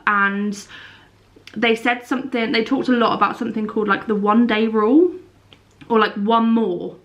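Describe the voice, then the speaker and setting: A young woman with a slightly high voice talks to her viewers in a chatty, rambling way. She sounds stuffed up and sniffly from a cold, as she chats casually at home. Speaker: lifestyle vlogger. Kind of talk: casual vlog chat to camera.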